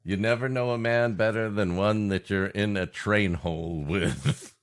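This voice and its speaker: A man's voice: fairly low pitch, measured pace. A man co-hosting a comedy podcast in bantering chat, riffing and often laughing at his own jokes. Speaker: podcast co-host.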